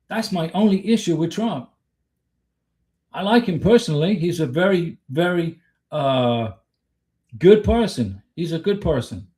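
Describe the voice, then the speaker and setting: A man's voice: on the high side, darkish tone. An American man from Florida hosting a casual livestream, talking in a blunt, emphatic, opinionated way with rhetorical questions and replies to chat. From Florida, United States. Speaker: livestream host.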